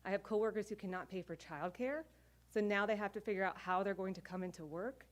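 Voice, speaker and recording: A middle-aged woman with a mid-pitched voice gives earnest testimony at a press conference. She speaks in a measured way, as a working air traffic controller.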